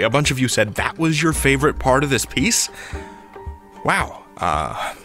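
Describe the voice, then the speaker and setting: A man's voice: mid-range voice, fairly bright tone. A man hosting a podcast, chatting to listeners in a playful, theatrical, slangy way. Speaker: podcast host. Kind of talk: chatty podcast countdown and commentary.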